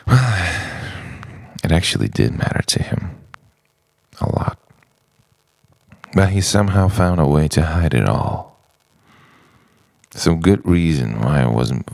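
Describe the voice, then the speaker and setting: Very deep, gravelly man, slow spoken word. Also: darkish tone, dry recording. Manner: dramatic, halting delivery in short phrases. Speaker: narrator of own written reflection. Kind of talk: introspective spoken-word monologue.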